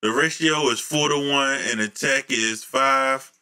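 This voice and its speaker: Guy in his 30s walking thru his mix settings, real bright voice, chill and explaining, home studio mic. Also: medium pitch, clean tone. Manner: relaxed, explanatory, slows down reading settings. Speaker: music producer teaching vocal mixing. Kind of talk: casual tutorial walkthrough. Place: home room. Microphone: studio condenser mic on boom, headphones on.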